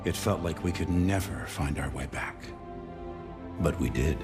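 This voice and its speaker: An actor voicing a solemn, resolute corporate propaganda narrator with dramatic scripted delivery in a game. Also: male voice, a bass voice, a little slow, loud music behind the voice.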